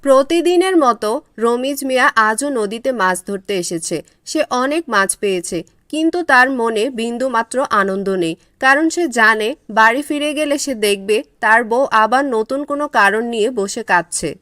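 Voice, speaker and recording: A woman's voice: on the high side, lively intonation, normal speed, bright, forceful tone, dry recording. Woman narrating a cartoon tale, dry sound. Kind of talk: scripted storybook narration for a cartoon.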